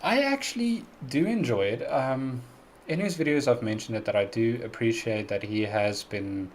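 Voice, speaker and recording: A man's voice: medium pitch, moderate pace, slight background noise. A South African man hosting a casual live-stream Q&A, chatty and earnest, who laughs often and pronounces his words very carefully. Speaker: music reaction YouTuber hosting a live stream.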